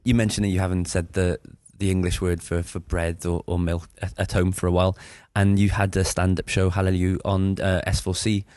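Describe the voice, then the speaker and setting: A man interviewing a guest, asking questions in a measured way. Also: low-pitched, little pitch movement, darkish tone, no room sound, clean studio sound.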